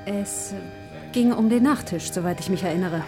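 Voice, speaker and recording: A female police detective played by an actress in a radio crime drama. Her voice sits at medium pitch, and she speaks with a cool, dry, teasing manner, with music faintly underneath. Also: moderate pace, darkish tone, natural voice. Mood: sly.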